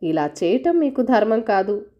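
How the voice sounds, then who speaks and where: A woman's voice: fairly high pitch, sing-song, moderate pace, dark tone, lo-fi sound. A woman narrator voicing a humble, fearful young village woman who pleads respectfully in a storybook tale. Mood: pleading.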